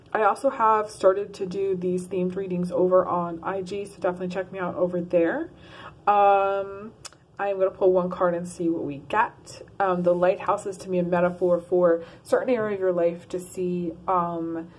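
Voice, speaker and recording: Woman tarot reader, lower-pitched voice, loose and rambling, filmed on a phone. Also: level tone, moderate pace. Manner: rambling, many ums and restarts. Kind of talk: casual one-card tarot reading. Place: in her car.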